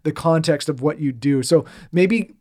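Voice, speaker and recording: Higher-pitched man, rambling podcast co-host. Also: normal speed, a little mellow, no room sound, clean studio sound. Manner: informal, rambling. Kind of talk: casual chat.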